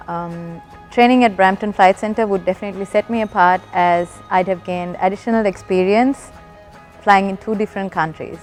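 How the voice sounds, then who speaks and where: A young woman from Sri Lanka, a student pilot, speaking in a measured, slightly scripted testimonial. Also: mid-range voice, a little mellow. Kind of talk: testimonial interview.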